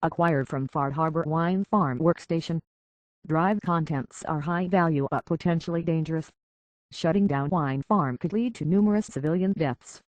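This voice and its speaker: An actor voicing a remorseful, idealistic synth leader in a video game, speaking in a grave, measured and deliberate way. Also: male voice, on the high side, no echo, highs cut off, lightly acted. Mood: clinical, detached. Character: solemn, remorseful male synth android leader, idealistic and weary.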